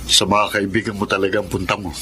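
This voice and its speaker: Low, forceful Filipino father. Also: flat delivery, fast talker, slight background noise. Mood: stern, suspicious. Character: stern, suspicious womanizing father and businessman. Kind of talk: Filipino radio drama acting.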